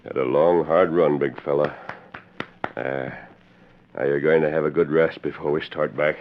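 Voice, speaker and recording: An actor playing a masked western hero in a 1940s radio drama, measured and solemn, gentle with his horse. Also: rumbling low, steady tone. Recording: dull, phone-like old radio recording, clear noise.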